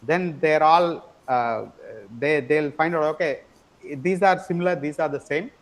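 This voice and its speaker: A man gives an online lecture in a fairly high, light voice. He explains patiently, repeats words as he searches for the next point, and keeps checking in with his students. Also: measured pace, low-quality recording. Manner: explanatory, frequent tag question "right?". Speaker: teacher.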